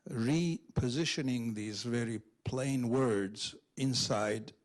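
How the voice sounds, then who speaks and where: Slow-talking, scholarly man. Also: medium pitch. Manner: halting, scholarly, checks in with "right?". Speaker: literary translator on a panel. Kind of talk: unscripted explanatory literary talk. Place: public library event before an audience.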